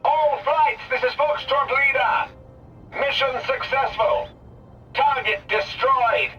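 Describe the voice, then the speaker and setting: An actor playing a commanding Allied bomber squadron leader, giving urgent, clipped orders over military radio in a war game. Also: male voice, very high voice, slow, bright, forceful tone, recorded from a distance, lo-fi sound, faint music behind, heavily acted. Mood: triumphant report. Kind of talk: military radio chatter, dramatic game acting.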